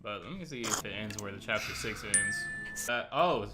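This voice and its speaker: A man hosting a reaction video with casual, slangy, conversational commentary over loud guitar music. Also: fairly low pitch, normal speed. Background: loud plucked guitar music.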